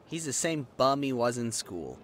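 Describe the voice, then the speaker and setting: A man's voice: medium pitch, very animated, normal speed, a bit bright, a little hiss or hum. A young man acting a resentful former bullying victim in a roleplay audio drama, with a sarcastic, confrontational manner. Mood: bitter, scornful. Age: young adult.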